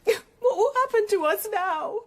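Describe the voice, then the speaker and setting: Very high-voiced, distraught Tudor mother. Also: animated. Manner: halting, stammering. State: distraught, tearful. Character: grieving, frightened poor Tudor mother of murdered son. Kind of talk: BBC radio drama acting.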